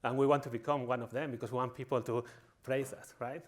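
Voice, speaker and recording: A man's voice: medium pitch, steady tone, quick pace. A man from Spain with a Spanish accent, giving a humorous conference talk in a tongue-in-cheek, mock-villainous manner. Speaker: conference speaker.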